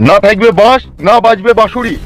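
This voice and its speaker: A man voicing an excitable, needling henchman who fawns on his boss in a comic cartoon. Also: high-pitched, fast, natural voice. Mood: flattering, confused. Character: goading, dim-witted sycophant henchman of a politician. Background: music.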